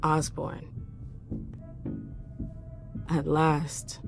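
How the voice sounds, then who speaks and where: An earnest vampire envoy in a game drama. Her voice is fairly low and her delivery direct and insistent, set over prominent music. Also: completely flat delivery, drawn-out words, creaky, heavily acted. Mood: confused, indignant. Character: determined, earnest young female vampire envoy. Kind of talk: dramatic video game cutscene acting. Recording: music behind the voice.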